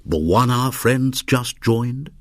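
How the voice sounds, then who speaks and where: Audiobook character: man in his seventies, read by a man. Wry, peaceable moralizer, unhurried and sardonic, lilting range, dry recording. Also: mid-range voice, animated, dry recording, pitched above the performer's own voice. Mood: sly, wry. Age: 70s.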